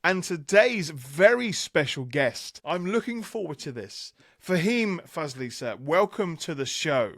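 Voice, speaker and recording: A man's voice: a little high, sing-song, measured pace, hardly any pauses, a bit bright, dry recording, clean studio sound. A man hosting a podcast interview in an enthusiastic, conversational style. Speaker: podcast host.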